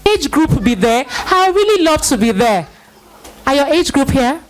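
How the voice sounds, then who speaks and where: A woman with a high voice hosts a live children's church event. She speaks as a middle-aged master of ceremonies, repeating phrases as she introduces people and urges them on. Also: very animated, a little slow, soft background music, some chatter behind. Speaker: host of a children's Bible play event.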